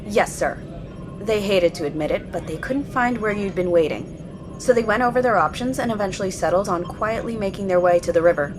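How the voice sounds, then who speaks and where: A woman playing a dutiful submarine officer in an audio drama, reporting crisply and formally. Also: mid-range voice, expressive, fairly quick, over music, close to an everyday voice. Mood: composed.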